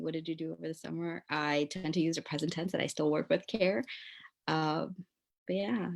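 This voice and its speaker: A woman in her twenties with a slightly low voice and plenty of vocal fry. She chats casually as a guest, joining a video call from home. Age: 20s. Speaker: guest, college senior and former intern. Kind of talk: casual chat.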